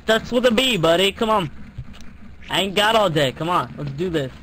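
Young male prank caller, high voice, slangy and foul-mouthed, faint-to-clear background noise. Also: expressive, moderate pace, fairly bright tone. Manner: slangy, teasing, profane. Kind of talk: casual, crude prank phone chat.

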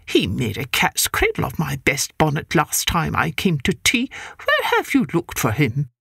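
A woman plays a no-nonsense neighbour cat from a storybook, her voice pushed lower and firm. She talks bluntly with a lively rise and fall. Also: measured pace, creaky, slightly rough, no room sound, clean studio sound, full character voice. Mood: stern.

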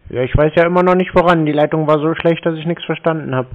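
Deadpan man, highish voice, phone sound. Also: quick pace. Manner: deadpan, terse, stonewalling. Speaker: scam-baiter answering cold calls. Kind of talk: casual phone talk. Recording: thin, band-limited phone call sound.

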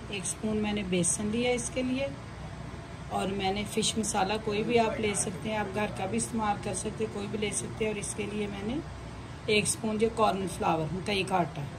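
A woman giving a casual step-by-step cooking demonstration in a home kitchen, often repeating her phrases as she shows each step. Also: mid-range voice. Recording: noisy home kitchen recording.

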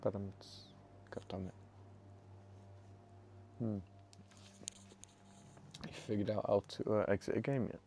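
A man's voice: lowish voice, fairly even intonation, a little slow, long pauses, background noise. A man chatting casually with a friend while gaming, teasing him and laughing often. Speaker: gamer and video host.

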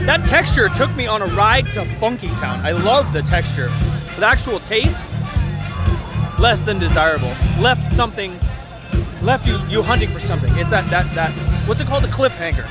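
A man in his thirties, talking casually and jokily on a handheld camera at an outdoor fair. His voice sits a little high, and loud music plays behind him. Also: fairly quick, over a noisy crowd. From Northern California. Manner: animated, jokey, swears casually. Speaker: vlogger. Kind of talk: casual food-review vlog. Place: outdoor state fair, crowd and rides around.

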